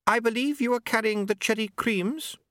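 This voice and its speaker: A man's voice: sits high, normal speed, a bit bright, no room sound, studio quality, pitched above the performer's own voice. A man voicing a polite, earnest, literal-minded young woman with a formal, diplomatic manner in a story. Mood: puzzled, earnest.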